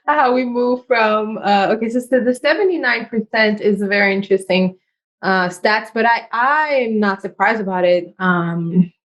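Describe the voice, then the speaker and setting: Animated woman, French native speaker. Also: medium pitch. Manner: animated, laughs often, quick asides. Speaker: podcast host. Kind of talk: casual interview chat.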